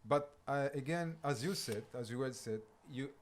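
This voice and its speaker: A man's voice: mid-range voice, normal speed. A man with a French accent, a French speaker hosting a podcast interview in English, friendly and agreeable, with slightly broken grammar. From France. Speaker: podcast host.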